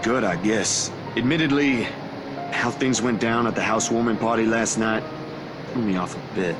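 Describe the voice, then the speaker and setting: A man's voice: fairly low pitch, normal speed, natural voice, low-quality recording. An actor playing a laid-back young man in a visual novel game, an uneasy but loyal friend who talks informally and a little hesitantly. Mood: casual unease. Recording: music behind the voice.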